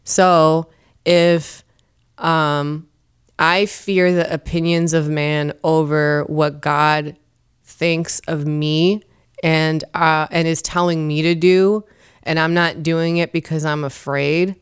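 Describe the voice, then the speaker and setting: Female guest, low register, emphatic and preachy when making a point. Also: flat delivery, takes it slowly, clear, resonant tone. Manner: earnest, emphatic, preachy about faith. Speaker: podcast guest, comedian and performer. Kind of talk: casual interview talk. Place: studio.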